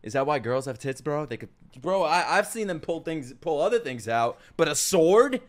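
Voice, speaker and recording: A young adult American man giving excitable, slangy, crude live reactions as a streamer, casually chatting and exclaiming. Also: on the high side, normal speed. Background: music.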